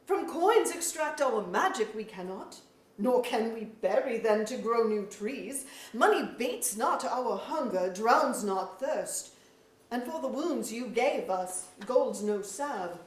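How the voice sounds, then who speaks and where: A woman acts a sorrowful, proud fairy king in a staged verse play. The delivery is slow and declamatory, in a fairly high voice. It sounds distant, in an echoey auditorium. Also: expressive. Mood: bitter. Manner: formal, declamatory, archaic phrasing. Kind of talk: staged reading of verse drama. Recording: echoey auditorium, recorded from far off.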